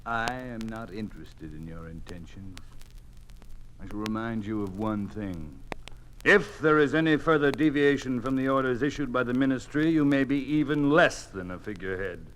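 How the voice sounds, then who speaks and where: An actor playing a cold, hardline ministry official in a radio drama, curt, formal and contemptuous. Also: male voice, mid-range voice, lively intonation, normal speed, a little hiss or hum, close to an everyday voice, low-quality recording. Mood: cold command. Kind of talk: 1950s radio drama acting.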